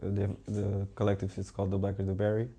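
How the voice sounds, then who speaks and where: A young man from Portugal, a visual artist speaking as a panel guest in a relaxed, rambling way with many fillers. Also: fairly low pitch, fast talker. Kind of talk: casual conversational talk.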